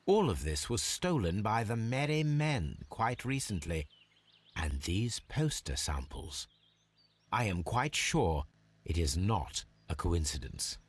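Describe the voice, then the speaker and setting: Sharp detective in a video game, lowish voice, really varied intonation, careful and precise. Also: male voice, very animated, slow, breathy edge, full character voice. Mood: musing, deliberate. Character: shrewd detective posing undercover as a lockpick thief. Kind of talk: scripted video game acting.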